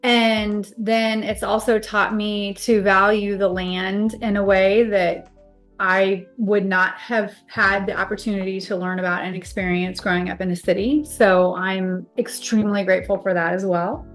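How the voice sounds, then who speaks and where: A middle-aged American woman speaks as an interview guest, introducing herself in a conversational way. Her voice sits at a medium pitch for a woman. Music plays faintly behind her. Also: flat delivery, unhurried. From Kansas, United States.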